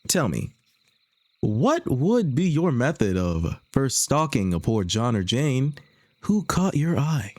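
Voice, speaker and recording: A man's voice: mid-range voice, very animated, slow, a little mellow, dry recording, clean studio sound. A man playing an upbeat, slick parody infomercial host, with theatrical sales patter and exaggerated emphasis. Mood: salesy. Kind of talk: comedic scripted infomercial roleplay.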